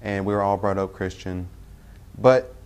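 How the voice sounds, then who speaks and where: Deep-voiced young guy, halting, lots of uh's and restarts, telling his own story. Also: fairly even intonation, darkish tone, smooth. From Tennessee, US Southern. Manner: halting, self-correcting with many "uh" pauses. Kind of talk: personal testimony.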